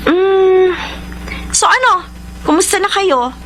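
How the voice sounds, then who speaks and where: A woman's voice: extremely high pitch, lively intonation, slow pace, a bit bright, slight background noise, exaggerated voice. An actress playing a heartbroken young career woman with a Filipino accent, narrating and acting in a radio romance drama, mixing in English phrases. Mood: guarded, friendly. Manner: mixes English phrases into her talk. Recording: music bed behind the voice.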